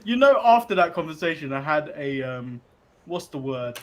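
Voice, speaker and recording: Brief: male host, bouncy high voice, rambling casual chat. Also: very animated, moderate pace, fairly bright tone. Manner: rambling, chuckling, restarts often. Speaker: podcast host.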